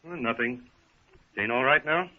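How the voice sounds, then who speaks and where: A man acting a simple, protective jungle ape-man who speaks halting broken English in a 1930s radio serial. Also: medium pitch, close to an everyday voice. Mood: puzzled, plain. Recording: noisy, tinny 1930s radio recording.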